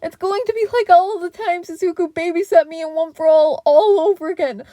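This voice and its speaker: A woman's voice: very high-pitched, fairly quick, mellow, no echo, clean studio sound, pitched above the performer's own voice. A woman voicing the mortified ghost of a frail boy in a story reading, whining and wailing.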